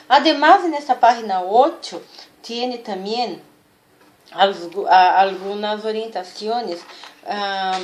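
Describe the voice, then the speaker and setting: A woman with a Brazilian accent, a native Portuguese speaker, teaching an informal language lesson, repeating and stressing key words and often checking in with short questions. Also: medium pitch.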